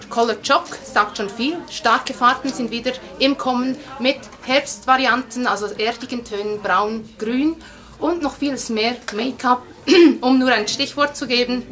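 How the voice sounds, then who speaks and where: A woman's voice: high voice, a bit bright. Upbeat woman presenting cosmetics. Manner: upbeat, promotional. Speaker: brand presenter. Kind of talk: product presentation.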